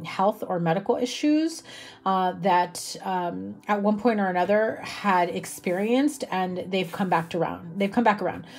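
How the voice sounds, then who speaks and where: Chatty tarot reader, slightly hoarse woman. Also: medium pitch. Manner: chatty, many fillers, soft and reassuring. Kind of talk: casual tarot reading to camera. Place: indoor room with a candlelit table.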